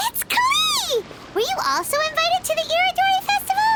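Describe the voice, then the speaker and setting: A woman voicing a small, excitable, childlike fairy companion in an anime video game, exclaiming as she talks. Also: very high-pitched, fairly quick, heavily acted. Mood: excited. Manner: refers to self in third person, exclaiming. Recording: music playing behind the voice.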